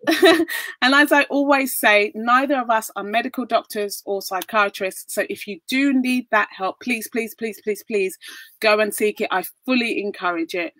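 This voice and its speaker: A young adult woman hosting a video call interview from home, bubbly and quick to laugh and exclaim. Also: fairly high pitch, pushed and bright, no room sound. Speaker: host. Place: home room with bookshelves. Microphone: laptop webcam on video call.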